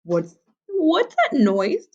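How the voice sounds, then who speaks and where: A woman's voice: high voice, huge pitch swings, very slow, a little mellow, muffled recording, pitched above the performer's own voice, heavily acted. A woman voicing a vain old granny from a children's storybook in a preening, singsong way.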